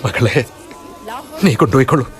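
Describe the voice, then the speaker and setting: A man's voice: medium pitch, expressive, moderate pace, faint music behind, some chatter behind, close to an everyday voice. A man playing a desperate husband in a radio drama, who pleads and sometimes rages. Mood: anguished. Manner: emotional, pleading, sometimes outraged. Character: desperate husband, middle-aged schoolmaster. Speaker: husband and narrator.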